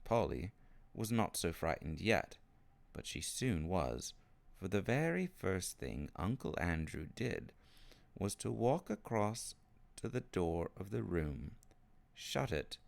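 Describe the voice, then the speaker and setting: Deep, unhurried male storybook narrator. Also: big pitch swings, vocal fry. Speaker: storybook reader. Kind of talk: expressive scripted narration of children's fiction.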